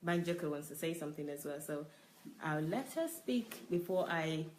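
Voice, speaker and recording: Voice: mid-range voice, expressive, moderate pace, a little mellow, poor audio. Reflective discussion leader with a mid-range voice who thinks aloud. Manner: reflective, with frequent restarts and fillers. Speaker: book review discussion leader. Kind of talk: reflective personal sharing.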